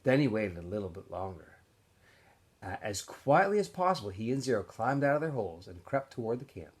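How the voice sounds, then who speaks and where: A man narrating a novel aloud in an expressive storytelling style, as if for students. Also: fairly low pitch, brisk, husky. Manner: animated storyteller. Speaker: teacher or school staff reading aloud to students.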